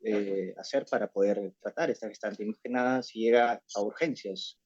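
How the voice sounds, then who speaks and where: A participant in an online class asks the teaching doctor a long question, hesitant and full of fillers. The voice is mellow and husky. The video call audio is dull and lo-fi. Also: medium pitch, normal speed, no echo. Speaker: course participant asking a question.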